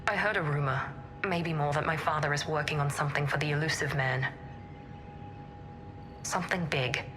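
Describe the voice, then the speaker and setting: A woman's voice: low voice, moderate pace, noisy background, natural voice. A woman playing a guarded, determined operative on the run in a video game, controlled and intense. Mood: tense, urgent.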